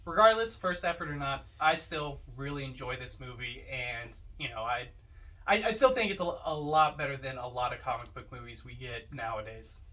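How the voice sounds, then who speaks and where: A man's voice: mid-range voice, moderate pace, bright, forceful tone. A man giving his opinions as co-host of a casual film discussion, picked up from a little distance. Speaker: podcast co-host. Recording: distant mic.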